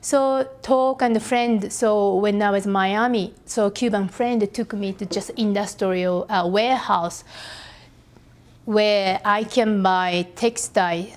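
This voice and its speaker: Slow, halting Japanese-accented woman. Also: mid-range voice. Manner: halting, searching for words, many pauses and fillers. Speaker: artist guest. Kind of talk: podcast interview.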